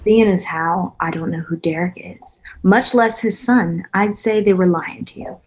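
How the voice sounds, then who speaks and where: A woman's voice: lowish voice, measured pace, slightly rough. A woman acting a tough, weary, guarded single mother in a naturalistic screenplay read, blunt and sardonic. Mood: defiant, sardonic. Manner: blunt, sardonic, plain-spoken.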